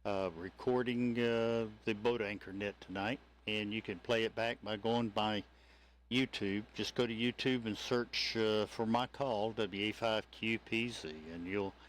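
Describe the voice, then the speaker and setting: A fairly low-voiced man talks over faint background noise. He is a radio hobbyist, casual and halting as he explains things. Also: steady tone. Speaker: amateur radio operator recording the net. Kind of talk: casual on-air chat.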